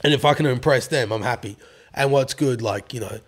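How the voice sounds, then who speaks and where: A raspy-voiced Australian man talks in a relaxed, rambling way as a podcast guest. His voice sits in an ordinary middle register, and he swears casually and leans on fillers. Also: mid-range voice, a little fast. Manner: laid-back, swears freely, filler-heavy. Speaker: rapper guest.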